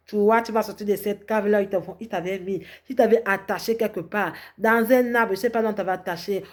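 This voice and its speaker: A woman's voice: medium pitch, a little mellow. A woman preaching, making fervent, repetitive prophetic declarations and calling for amens. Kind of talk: prophetic sermon, French then Spanish.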